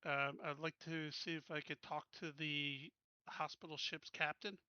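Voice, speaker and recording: A man's voice: a little high, little pitch movement, measured pace, pushed and bright, clean tone, no echo, highs cut off. A man chatting casually as a player in a tabletop game.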